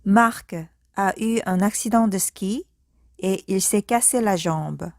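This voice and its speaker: A woman teacher leads a speaking drill, pronouncing each short phrase slowly and clearly before repeating the full sentence. Her voice sits at an ordinary middle pitch. The recording is close and dry, with no room sound. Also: no echo. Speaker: French language teacher. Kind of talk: slow speaking-practice drill.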